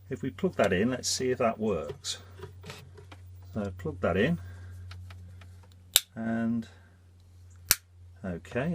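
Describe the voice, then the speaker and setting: A man's voice: lowish voice, noisy background. A man with a blocked nose from a cold, chatting through a step-by-step DIY job on his narrowboat. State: congested with a cold. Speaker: vlogger. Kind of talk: casual DIY vlog.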